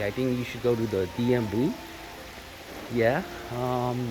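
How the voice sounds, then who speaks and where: A Korean-born man whose first language is Korean, talking casually to camera with lots of fillers and restarts. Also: medium pitch. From South Korea. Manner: rambling, many fillers and self-corrections. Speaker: vlogger. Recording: steady rain noise in background.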